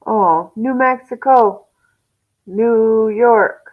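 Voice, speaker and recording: A woman's voice: fairly high pitch, extremely slow, narrow, tinny audio. A woman in casual chat who recites lists from memory in an easygoing way.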